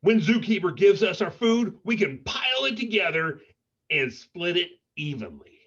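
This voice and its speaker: A man's voice: sits high, sing-song, moderate pace, fairly bright tone, breathy edge, muffled recording, full character voice. A man voicing a proud, emphatic female giraffe in a children's storybook. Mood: eager. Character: boastful female giraffe, cheerful and assertive storybook animal.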